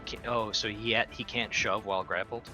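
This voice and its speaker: A man with a fairly low but bright, forceful voice talks casually at a tabletop game. He is blunt and informal, with faint music in the background. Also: fairly even intonation, normal speed.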